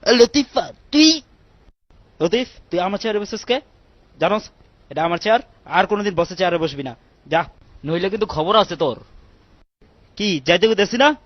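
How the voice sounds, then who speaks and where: A high-pitched, sly cartoon cat, voiced by a man with a Bangladeshi accent. He is lazy, boastful and mocking, always ready with a wisecrack. The sound is dry and somewhat muffled. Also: lively intonation, moderate pace, long pauses, no echo. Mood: bossy. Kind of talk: comic cartoon dub in colloquial Bengali. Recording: dull, muffled dry audio.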